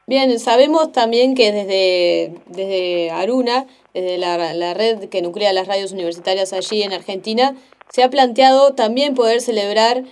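A woman hosting a radio interview, measured and ending her points with leading questions. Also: mid-range voice, darkish tone.

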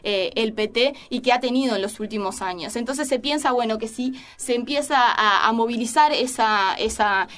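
A woman analyses an election in a relaxed talk. Her voice sits somewhat high, and she circles her points with frequent fillers and self-corrections. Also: moderate pace, few pauses. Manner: hesitant, many fillers and restarts. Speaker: political analyst. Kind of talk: conversational political analysis.

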